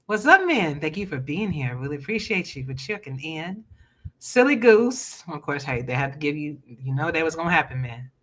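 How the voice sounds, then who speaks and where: A woman's voice: deep voice, very animated, normal speed, fairly bright tone. An American-accented woman hosting a gaming talk show, chatting informally and affirmingly with a guest. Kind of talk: casual interview chat.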